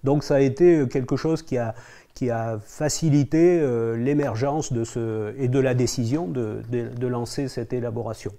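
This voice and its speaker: A man giving interview testimony in formal wording with a halting delivery. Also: medium pitch, dark tone.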